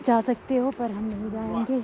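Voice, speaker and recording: Brief: woman, 30s, chatty excitable vlogger on a sunny trail, camera at arm's length, muffled sound, heavy wind noise. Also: on the high side, moderate pace. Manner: chatty and excitable, pointing out sights. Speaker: travel vlogger. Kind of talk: casual travel vlog narration. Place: outdoors on a sunny volcano hiking trail. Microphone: camera held at arm's length. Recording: dull outdoor phone audio with wind noise.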